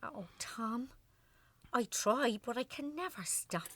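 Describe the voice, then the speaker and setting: An actress playing an anxious older Irish wife, a constant worrier, in a radio play. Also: female voice, fairly high pitch, expressive, takes it slowly, dry recording. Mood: worried, wistful.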